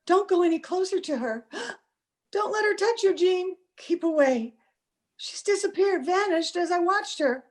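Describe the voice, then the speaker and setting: A woman's voice: extremely high pitch, animated, a little slow. A woman in an old-time radio play, acting an anxious, devoted young sweetheart in an earnest, fretful, melodramatic manner. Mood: frightened. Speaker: amateur radio play actor. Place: home, over a video call.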